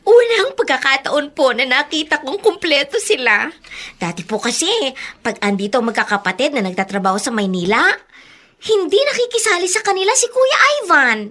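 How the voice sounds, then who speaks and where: A woman's voice: sits high, sing-song, brisk, a bit bright. A woman playing a polite younger neighbour in a radio drama, respectful, chatty and sympathetic.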